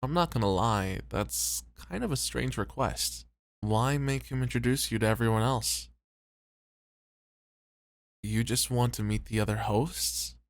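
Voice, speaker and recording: Conscientious, self-doubting host for ASMR roleplay, played by a man: sincere, fry-edged voice, very dry close recording. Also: medium pitch, a little slow, no room sound, lightly acted. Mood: curious, relaxed. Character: conscientious, self-doubting male host, weary but devoted. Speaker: voice actor.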